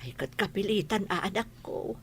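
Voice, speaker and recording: A woman's voice: medium pitch, animated, moderate pace, husky. A woman playing a plaintive, ailing elderly wife and mother in an Ilocano radio comedy drama. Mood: coaxing, motherly.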